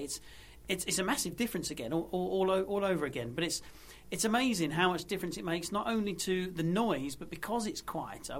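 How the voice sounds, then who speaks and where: Quick, slightly high male co-host, eager repeats. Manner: fast, stumbling over repeated words. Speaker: podcast co-host. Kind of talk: casual podcast chat.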